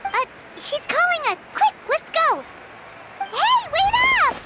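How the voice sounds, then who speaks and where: A woman's voice: very high voice, sing-song, slow, sharp tone, a little hiss or hum, exaggerated voice. A woman voicing a tiny, childlike floating fairy companion in a video game, brash, cheeky and given to exclamations. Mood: excited. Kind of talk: video game character acting.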